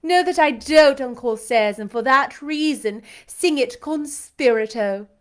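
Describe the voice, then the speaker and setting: A woman's voice: high-pitched, measured pace, pitched above the performer's own voice. A woman reading aloud, voicing a proud, scornful young aristocratic lady who talks in an imperious, showy way. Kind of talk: audiobook character reading.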